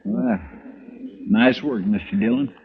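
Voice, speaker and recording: An actor playing a respectful, eager country deputy with folksy speech, in a 1950s radio western. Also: male voice, on the low side, takes it slowly, breathy edge. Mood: deferential. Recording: thin phone-like vintage radio recording.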